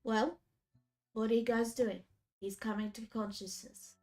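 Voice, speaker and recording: A woman's voice: fairly high pitch, a little slow, soft background music. A woman game master narrating a tabletop game casually, sounding a little congested from a cold. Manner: casual, relaxed narration with fillers.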